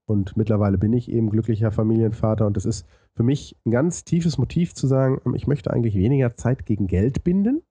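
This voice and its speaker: A man speaks with a very mellow, slightly low voice and an audible lisp. He answers like an interview guest, laying out his story in structured points with hesitations. The recording is very dry. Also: brisk, hardly any pauses, slightly rough, no echo. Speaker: interview guest, podcaster and consultant.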